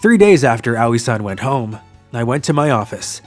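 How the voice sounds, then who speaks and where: Casting: male actor as earnest young office worker, mid-pitched, narrating a manga love story over faint music. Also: big pitch swings, moderate pace, lightly acted. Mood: wistful, reflective.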